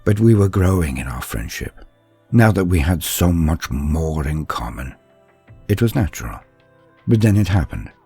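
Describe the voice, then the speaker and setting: A man voicing an earnest young armored psyker apprentice, recounting his tale in solemn, deliberate, formal words as dramatic story narration. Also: low-pitched, very animated, dark tone, slightly rough, soft background music, full character voice. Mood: measured.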